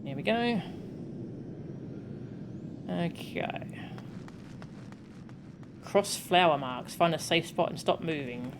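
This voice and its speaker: A woman's voice: on the low side, expressive, takes it slowly, pauses often, noisy background. A woman game streamer chatting casually to her viewers, informal and given to muttered asides. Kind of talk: casual live stream chat, reading game text aloud.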